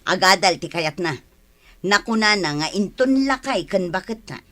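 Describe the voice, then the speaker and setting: Sharp, animated scolding mother played by a woman. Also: medium pitch, moderate pace, lightly acted. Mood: scheming. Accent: Ilocano. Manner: pushy, mocking. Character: greedy, scolding village mother, middle-aged, domineering. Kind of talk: Ilocano radio drama acting.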